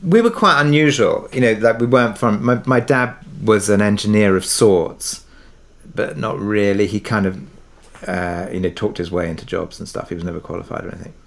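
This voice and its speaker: Male, British, middle-aged. Fairly low register, reflective guest discussing his work, feels his way through each thought. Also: a little fast, creaky. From Britain, partly raised abroad in Kuwait. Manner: hesitant, thoughtful, circling back to rephrase. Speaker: interview guest, audio drama creator. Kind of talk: reflective interview conversation.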